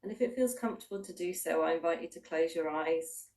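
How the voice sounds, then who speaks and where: A woman with a low voice leads a guided meditation, sitting a little way from the microphone. She gives gentle, invitational instructions, leaving long pauses between unhurried phrases. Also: far from the mic. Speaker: mindfulness practitioner leading a guided body scan.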